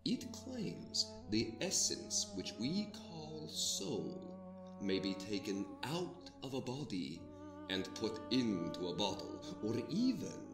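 A sweeping, lecturing old scholar. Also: male voice, fairly low pitch, full character voice. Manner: archaic, grandly lecturing speech. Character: old male scholar with an ancient scroll, learned and earnest. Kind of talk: audio drama acting. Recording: roomy reverb, music bed.

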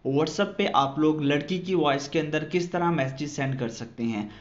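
A man's voice: fairly high pitch, moderate pace. A man hosting a phone app tutorial voice-over, explaining step by step in an instructional way. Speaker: tutorial channel host. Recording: dull, muffled lo-fi voice-over.

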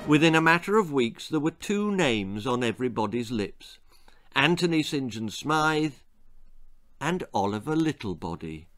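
An older man reading his own story for children as an expressive narrator. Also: mid-range voice, unhurried, soft background music. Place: small book-lined room indoors.

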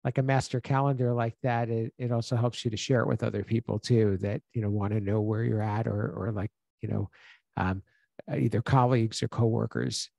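A man in his forties co-hosting a casual podcast over a video call, asking long, rambling questions full of restarts, close on a boom podcast mic. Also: flat delivery, a little fast, creaky, mellow, no room sound. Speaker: podcast co-host. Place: home office on a video call. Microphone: boom podcast mic close to mouth.

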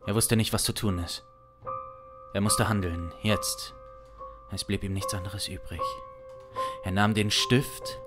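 A man in his thirties improvising a dark crime narration in a dramatic, intense manner, close on a studio mic. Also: medium pitch. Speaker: improvising audio drama performer. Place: small home studio, indoors. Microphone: close studio condenser mic. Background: instrumental music.

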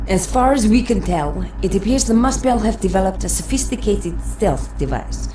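An actress playing a composed military science officer in a video game, giving a matter-of-fact briefing. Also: female voice, mid-range voice, expressive, normal speed, mellow, echoey room, far from the mic, a little hiss or hum, natural voice, rough recording. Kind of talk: video game cutscene acting.

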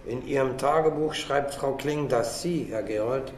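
A sceptical male detective acted by a man in a radio crime drama. He questions in a controlled, pointed way at a middling pitch. The sound is roomy, with faint noise. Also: normal speed, a little mellow, natural voice. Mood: probing, sceptical. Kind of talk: naturalistic German radio drama acting.

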